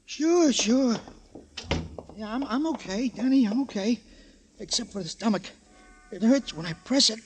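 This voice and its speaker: High-pitched, stammering nervous crook. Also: male voice, expressive, measured pace, pauses often, noisy background. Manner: stammering, repeats himself anxiously. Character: nervous, pleading ex-stool pigeon, small-time crook. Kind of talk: old-time radio crime drama acting. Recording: old radio broadcast with music.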